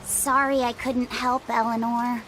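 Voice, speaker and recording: A woman playing a gentle, earnest young boy in a video game, speaking softly and hesitantly. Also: on the high side, little pitch movement, unhurried, rough recording. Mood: shy, earnest. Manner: soft, hesitant, polite. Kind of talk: English video game voice acting.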